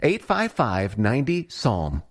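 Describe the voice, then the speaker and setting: A man's voice: medium pitch, wildly swooping pitch, a little slow. A man with an American accent reading a radio advertisement in a conversational style. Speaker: advertising announcer.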